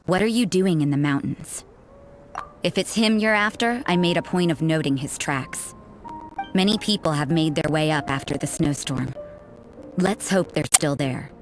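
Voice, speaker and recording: A woman's voice: sits low, moderate pace, close to an everyday voice. An actress playing a cool, cynical woman who patrols for threats, speaking in a dry, dismissive and suspicious way. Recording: backing music.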